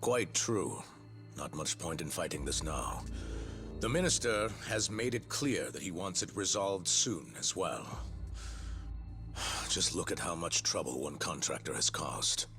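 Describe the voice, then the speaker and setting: An actor playing a weary, formal institute director in a video game dub. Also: male voice, deep voice, animated, a little slow, fairly bright tone, faint music behind, full character voice. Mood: weary, terse.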